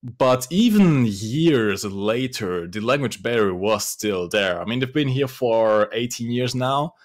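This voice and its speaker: A young man from Germany, a native German speaker, answering interview questions thoughtfully, with pauses and restarts. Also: medium pitch, lively intonation. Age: young adult. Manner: thoughtful, hesitant, with frequent fillers and restarts. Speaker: interview guest. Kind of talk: casual interview.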